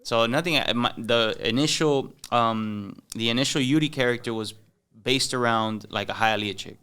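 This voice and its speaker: A young Miami man with a Cuban-American accent talks loosely on a podcast, full of "bro" and slang, switching into Spanish now and then. His voice is very smooth and clean, at an average pitch for a man. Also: moderate pace, sharp tone. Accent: Miami Cuban-American English. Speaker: podcast guest, comedian. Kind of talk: casual chat.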